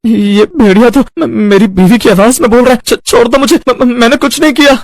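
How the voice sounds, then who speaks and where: A man playing an excitable, easily frightened and bossy village husband in a story, in an animated manner. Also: very high voice, fairly quick, no room sound. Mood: terrified.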